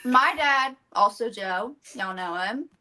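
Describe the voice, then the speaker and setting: A woman's voice: on the high side, lively intonation, takes it slowly, a crowd in the background. A young woman chatting playfully on a podcast. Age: young adult. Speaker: podcast guest. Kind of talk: casual chat.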